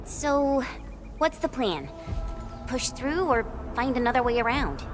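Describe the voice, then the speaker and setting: A spirited young game heroine with a fairly high, hugely animated voice. She sounds casual but wary, taking her time over the words as music plays behind. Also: measured pace. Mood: uneasy, spirited. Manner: expressive, casual, questioning. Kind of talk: video game character acting.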